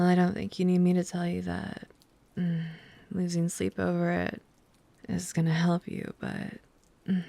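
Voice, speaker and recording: A woman speaking softly and soothingly in an intimate, gently teasing ASMR bedtime roleplay, close to the microphone. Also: medium pitch, vocal fry, no echo. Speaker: ASMR roleplay performer playing a girlfriend. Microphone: close microphone, dry sound.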